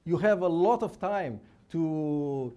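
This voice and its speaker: Man, Brazilian Portuguese accent, conference lecture, fairly high pitch, warm dark tone, informal, restarts. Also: animated, takes it slowly. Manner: informal, tag questions, frequent restarts. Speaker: conference speaker, fetal cardiology. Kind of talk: conference lecture with live scan.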